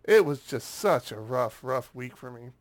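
A man's voice: mid-range voice, animated, moderate pace. A man co-hosting a podcast in casual chat, still congested from a cold and rambling, repeating words as he restarts. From United States. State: congested, getting over a cold. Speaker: podcast co-host.

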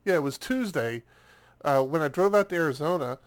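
A man's voice sits a touch high for him. He is stuffed up from a recent cold, and he talks in a loose, rambling way, stumbling over repeated words as he co-hosts a casual chat show. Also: expressive, fairly quick. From United States. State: congested, getting over a cold. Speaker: podcast co-host.

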